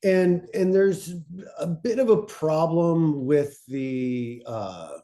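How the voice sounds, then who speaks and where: A man speaking informally as a panelist, thoughtful and halting, often breaking off to rephrase his point. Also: fairly high pitch, animated, dark tone. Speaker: panelist presenting on podcast pitching.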